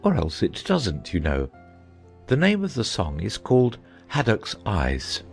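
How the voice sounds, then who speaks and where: An actor playing a gentle, foolish and fussily earnest knight in a dramatized audiobook. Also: male voice, lowish voice, huge pitch swings, unhurried, creaky, soft background music, exaggerated voice. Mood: earnest, proud.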